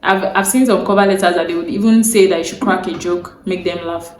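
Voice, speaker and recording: A woman's voice: lowish voice, fairly even intonation, a little fast, darkish tone, slight background noise. Earnest Nigerian woman in echoey room. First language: Yoruba. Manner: earnest, repeats key points, checks in with viewers. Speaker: career advice vlogger. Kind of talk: instructional talk to camera. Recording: roomy echo, faint ambience.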